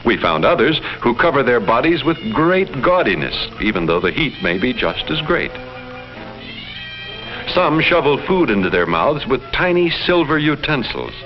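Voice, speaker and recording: An actor playing a detached alien explorer, reporting on human life in formal, measured 1950s educational film narration. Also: male voice, mid-range voice, very animated, close to an everyday voice. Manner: formal, measured, clinical phrasing. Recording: muffled vintage film sound, music behind. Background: loud music.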